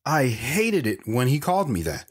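A man's voice: medium pitch, sing-song, moderate pace, husky. A man playing a wanderer recounting his hard life in first-person audio drama narration. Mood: reflective.

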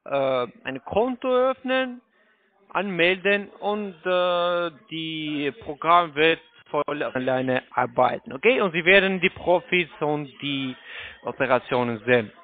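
A man with a foreign accent in non-native German making a polite, halting telephone sales pitch over a phone line. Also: lively intonation. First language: not German. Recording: tinny, narrow phone line.

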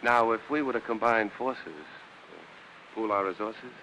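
Cocky flirtatious officer, bright low voice. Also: male voice, steady tone, unhurried, dull audio. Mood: playful, flirtatious. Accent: American. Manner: teasing, wry. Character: cocky, flirtatious military officer, smooth wisecracker. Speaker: actor. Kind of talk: 1950s Hollywood film acting. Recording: hissy vintage film soundtrack.

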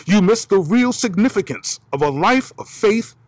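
A man in his fifties preaching a sermon outdoors as pastor, with dramatic emphasis and slow stresses, filmed on a camera held at arm's length. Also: sits high, lively intonation, dry recording. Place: outdoors by pine trees. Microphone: phone or camera at arm's length.

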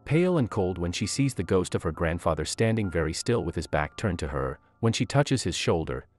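Deep-voiced man narrating a movie recap. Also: big pitch swings, a little fast. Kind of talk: scripted narration.

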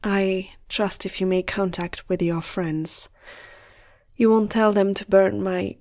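A woman voicing a flirtatious, gentle female vampire noble in an intimate, teasing ASMR roleplay, soft and often close to a whisper. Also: mid-range voice, steady tone, slow pace, natural voice. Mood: sleepy, hopeful.

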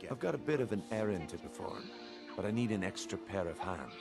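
An actor playing a sly, confident thief in a video game, talking in a smooth, knowing way. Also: male voice, low voice, sing-song, a little fast, full character voice. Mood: conspiratorial, businesslike. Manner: smooth, knowing, calling the listener lad. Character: sly, confident thief recruiting a newcomer for a job. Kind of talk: video game character dialogue. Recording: game dialogue under clear background music.

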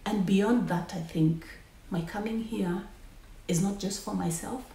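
A woman's voice: medium pitch, unhurried, creaky, dark tone. A woman speaking earnestly and reflectively in an interview, recorded indoors in an echoey room. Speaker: researcher.

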